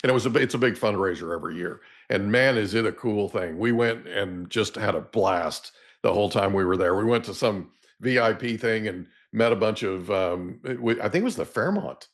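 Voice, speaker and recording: A man's voice: on the low side, brisk, hardly any pauses. A middle-aged American man from Oklahoma hosting an interview podcast in a casual, chatty, folksy way that wanders into anecdotes. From Oklahoma, United States. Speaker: podcast host.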